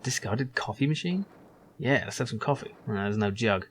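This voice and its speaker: A man talking through a retro game in a casual, amused way, often laughing and rambling. His pitch sits in the middle range. A faint hiss runs under the voice. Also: animated, moderate pace, fairly bright tone. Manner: chatty and amused, with frequent laughs and fillers. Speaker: gameplay video host. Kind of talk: casual let's-play commentary and reading lines.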